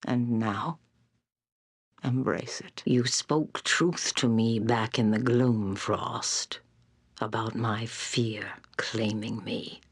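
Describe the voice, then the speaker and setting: Elderly female seer, fantasy game, husky aged voice, solemn, portentous, weary, very dry close sound. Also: very deep voice, steady tone, no echo, heavily acted. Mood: resigned, solemn. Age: older. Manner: slow, grave, portentous. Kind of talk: dramatic fantasy game voice acting.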